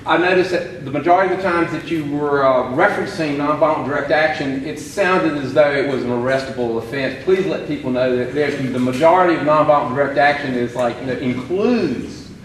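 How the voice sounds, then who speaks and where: A man in the audience raising a point in a loud, plainspoken, slightly halting way. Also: a little high, a little hiss or hum. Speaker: audience member. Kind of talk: question from the floor. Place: public meeting hall. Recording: echoey hall recorded from far off.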